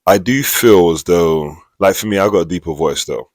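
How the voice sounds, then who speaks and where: Deep-voiced male host, dry close mic, animated and repetitive when making a point. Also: normal speed, no echo. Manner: animated, repeats phrases for emphasis. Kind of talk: casual commentary.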